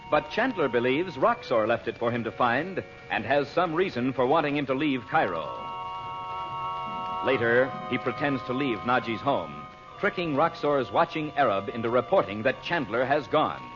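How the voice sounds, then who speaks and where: A man's voice: mid-range voice, sing-song. Portentous radio announcer, man, over music. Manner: portentous, measured. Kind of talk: dramatic old-time radio serial narration. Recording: dull old radio recording with music.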